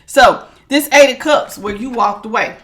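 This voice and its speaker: A woman with a bright, forceful, projecting voice talks straight to her viewers as she reads tarot cards. Her delivery is emphatic and authoritative, and she doubles down on her points by repeating phrases. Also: a little high, normal speed. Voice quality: strong, projecting voice. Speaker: tarot reader. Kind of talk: casual tarot reading to viewers.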